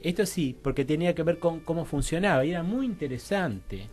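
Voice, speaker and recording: A man with an Argentine Rioplatense accent, speaking as an interview guest in a halting, digressive way and often checking for agreement. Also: on the high side, expressive, a little mellow, faint music behind. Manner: halting, digressive, seeks agreement with frequent tag questions. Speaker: interview guest, social researcher. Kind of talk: informal radio interview explanation. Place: radio studio.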